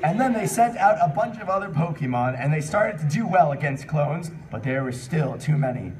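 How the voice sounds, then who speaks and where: A man's voice: fairly high pitch, animated, fairly quick, darkish tone, slight background noise. A man reading fan fiction aloud as host of a live comedy panel, breaking off often to riff and exclaim. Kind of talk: comic live reading with asides. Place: convention panel room with audience. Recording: distant mic in a crowded panel room.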